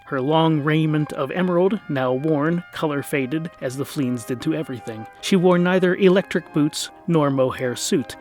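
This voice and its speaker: Man narrating comic fantasy over soft music. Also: on the high side.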